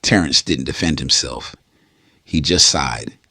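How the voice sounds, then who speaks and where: A man narrating a late-night romance story in a slow, hushed, intimate way. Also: very deep voice, husky, dry recording, clean studio sound. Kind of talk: scripted storytelling.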